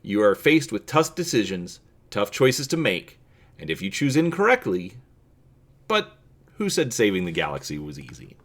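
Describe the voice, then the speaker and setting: Guy doing dramatic storybook narration, kinda deep, really lively up and down delivery. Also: moderate pace. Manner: expressive, theatrical reading with occasional stumbles. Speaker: reader and channel host.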